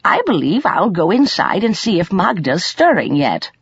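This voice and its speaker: A woman voicing a mature lady in an audiobook novel, composed, brisk and resolute in manner. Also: lowish voice, sing-song, breathy edge, no echo, dull audio, voice pushed up, heavily acted. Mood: delighted.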